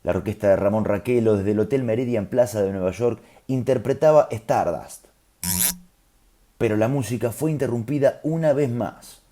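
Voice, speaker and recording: A man's voice: mid-range voice, dark tone. A man with a Rioplatense Argentine accent tells a scripted story in a conversational, explanatory way, with colloquial asides. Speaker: storyteller host.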